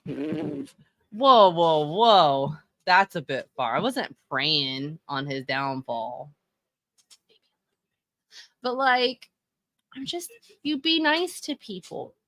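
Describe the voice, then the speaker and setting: A woman in her thirties with a Texan accent talks through her opinions in a loose, conversational way, often stopping to correct herself. Her voice sits on the low side. The recording is dry and close, with no room sound. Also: very animated, extremely slow, long pauses, fairly bright tone, no room sound, rough recording. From Texas. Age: 30s. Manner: chatty, opinionated, self-correcting. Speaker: streamer reviewing wrestling fashion. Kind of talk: casual live-stream commentary.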